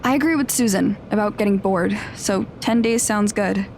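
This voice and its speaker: A woman's voice: mid-range voice, normal speed, a little mellow, a little hiss or hum, natural voice. A woman playing an eager, easily flustered teenage girl in an audio drama, with a polite manner.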